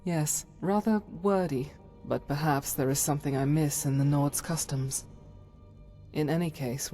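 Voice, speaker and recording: A woman voicing a devout, principled warrior companion in a fantasy game, formal, measured and earnest. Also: sits low, mellow. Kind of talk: scripted fantasy game dialogue.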